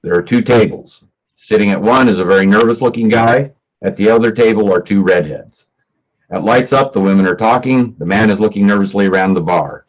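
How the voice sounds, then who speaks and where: Male narrator for a staged reading: low pitch, scripted scene and action descriptions. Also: a little fast, rough recording. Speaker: stage directions reader.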